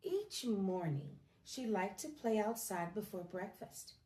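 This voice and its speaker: Slow, expressive woman reading bedtime stories. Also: medium pitch, husky. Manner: gentle, expressive, measured reading. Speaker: storyteller. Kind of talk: bedtime storybook read-aloud.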